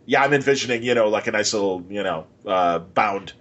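A man's voice: lowish voice, a little fast, a bit bright, muffled recording. A man interviewing a guest in casual conversation as a podcast host. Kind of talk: casual chat, reacting to the guest.

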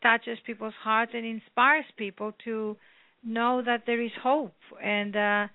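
A woman with a Greek accent speaks earnestly about her book, pausing often on "uh" as she searches for words. Her voice sits fairly high with a gravelly fry, and it comes through a tinny, dry phone line. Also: little pitch movement, no echo. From Greece. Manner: rambling, earnest, with many "uh" pauses. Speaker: author guest. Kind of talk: phone interview.

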